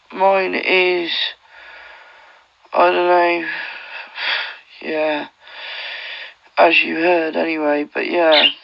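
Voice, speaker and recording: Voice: mid-range voice, gravelly, dull audio. A person chatting casually and giving advice in a rambling, repetitive way, swearing often, over walkie-talkie audio.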